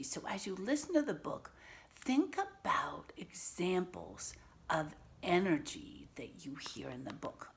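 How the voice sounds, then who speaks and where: A woman teacher reading a picture book aloud to young children, pausing to explain words and ask simple questions in an instructive way. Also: fairly low pitch, gravelly.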